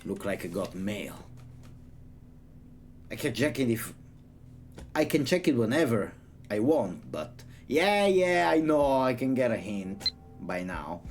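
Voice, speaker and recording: A man's voice: mid-range voice, sing-song, slow, pauses often. A man reading a story aloud expressively, theatrical and irreverent, breaking off for side remarks. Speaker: streamer reading a visual novel aloud. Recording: music behind the voice. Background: music.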